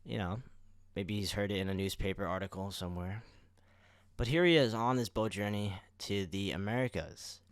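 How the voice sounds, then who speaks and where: A man with a fairly low voice talks casually as a podcast co-host. His explanations wander informally, and the sound is very dry, with no room around him. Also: no echo.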